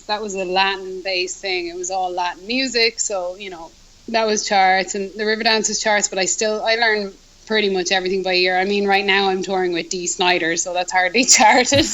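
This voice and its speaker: A woman's voice: mid-range voice, a bit bright, low-quality recording. A woman with an Irish accent, a musician being interviewed, chatting casually, laughing often and acting out little quotes. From Ireland. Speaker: guest musician.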